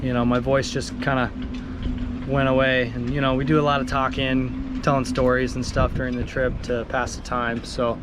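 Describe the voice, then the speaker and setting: A man with a hoarse voice from a cold, casually explaining his boat's gear step by step with many pauses and ums. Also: medium pitch, little pitch movement. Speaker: sailboat owner vlogger. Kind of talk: casual show-and-tell vlog. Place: outdoors on a sailboat in a marina, windy. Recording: windy outdoor camera audio. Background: wind and harbor noise.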